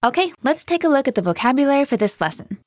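A woman's voice: sits high, big pitch swings, fast talker, no echo. A woman hosting a scripted language lesson with an upbeat teacher delivery, recorded close on a studio mic.

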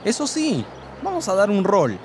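A man's voice: sits high, huge pitch swings, brisk, noisy background. A man voicing a casual young man who greets a friend in a scripted textbook dialogue. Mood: friendly.

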